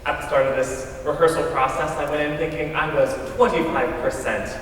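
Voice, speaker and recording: A man's voice: fairly high pitch, steady tone, brisk, fairly bright tone. An American man from South Dakota giving an informal introduction to an audience. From South Dakota, USA. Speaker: readers theater performer. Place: large echoey room before a live audience. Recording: echoing hall, distant mic, faint noise.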